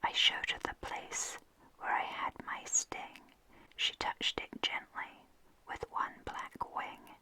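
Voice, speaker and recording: Slow, airy ASMR whisper. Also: rough recording. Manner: soft unvoiced whisper throughout. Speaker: reader of children's poems. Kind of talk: ASMR poem reading.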